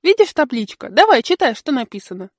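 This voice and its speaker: A woman voicing a bright, bossy little kindergarten girl in a storybook, brisk and instructive. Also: high voice, big pitch swings, no echo, clean studio sound. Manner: know-it-all, instructive, brisk. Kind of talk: storybook character dialogue.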